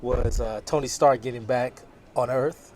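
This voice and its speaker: A man's voice: mid-range voice, steady tone, measured pace, darkish tone, slight background noise. A man on a casual panel, talking in a blunt, playful way.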